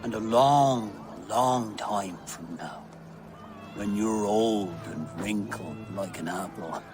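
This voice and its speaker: An actor playing a solemn, tender old village elder in a dramatic, ceremonial game cutscene. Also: male voice, fairly low pitch, music in the background. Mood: tender, resigned. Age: older. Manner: measured, ceremonial delivery.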